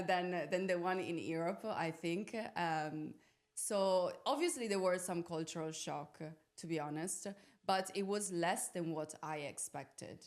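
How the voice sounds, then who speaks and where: A young woman from Italy talking casually and reflectively as a podcast guest, informal and often searching for her words. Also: on the low side. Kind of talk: casual personal reflection on living abroad. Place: podcast studio.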